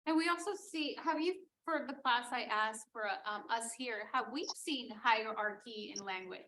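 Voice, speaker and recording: Higher-voiced woman leading a class discussion. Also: normal speed, pushed and bright, low-quality recording. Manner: questioning, reflective. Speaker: teacher, class moderator.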